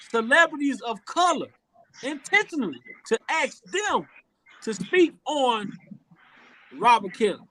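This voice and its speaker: A man's voice: very high-pitched, bright, forceful tone, dry recording, rough recording. A man from rural Louisiana, hosting a casual opinion talk show and speaking earnestly, often repeating words as he builds a point. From rural Louisiana, USA. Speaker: podcast host.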